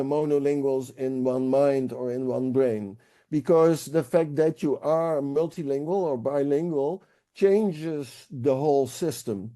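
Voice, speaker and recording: Man with a very dark voice. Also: fairly high pitch. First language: Dutch. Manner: thoughtful, halting with frequent fillers and restarts. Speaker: senior researcher guest. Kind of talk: explanatory interview talk.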